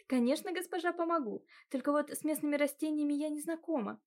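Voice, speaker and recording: Woman doing an excitable high young maid. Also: quick pace. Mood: eager. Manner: excited, exclaiming. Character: eager young female maid, warm and chatty.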